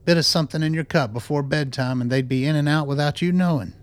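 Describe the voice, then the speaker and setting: An actor playing a composed, principled doctor in a radio drama, speaking in a measured, clinical, matter-of-fact way. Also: male voice, fairly high pitch, natural voice. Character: composed, principled male doctor running a secret lab.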